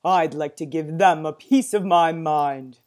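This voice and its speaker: A woman's voice: low-pitched, a little slow. Woman putting on a bellowing pompous zoo owner. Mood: blustering. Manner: loud, bellowing, flustered. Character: blustering pompous male zoo owner, middle-aged.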